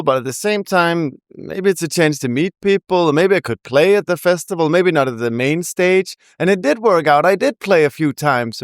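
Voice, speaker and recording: Danish-accented young woman, guest rapper; smooth, dry close voice, giggly storyteller. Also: lowish voice, no room sound. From Denmark. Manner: chatty, laughs often, rambling asides. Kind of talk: casual interview chat.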